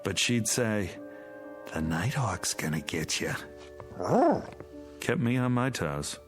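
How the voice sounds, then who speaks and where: Radio drama dad: tired, uneasy, fond, unhurried delivery with animated intonation. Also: male voice, on the low side, faint music behind. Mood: musing. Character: weary suburban father, fond but exasperated, uneasy.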